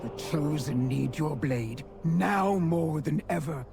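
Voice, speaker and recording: Slow, gravelly solemn undead warrior. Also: male voice, a little high, over music. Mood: solemn, urgent. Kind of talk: video game character dialogue.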